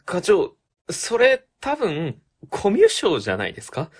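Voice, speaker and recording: A woman's voice: lowish voice, huge pitch swings, unhurried, darkish tone, breathy edge, full character voice. A woman playing a young, level-headed office subordinate in a comic radio drama, polite and matter-of-fact. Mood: puzzled, correcting.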